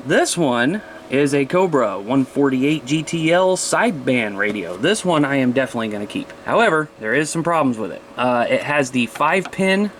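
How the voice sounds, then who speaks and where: A man's voice: medium pitch, lively intonation, normal speed, a bit bright, a little hiss or hum. A man chatting in a folksy, informal way as he casually shows off his hobby gear. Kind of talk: casual show-and-tell vlog.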